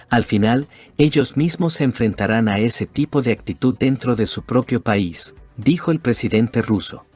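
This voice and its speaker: A man reading a scripted documentary narration in a measured way. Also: on the low side, a little mellow.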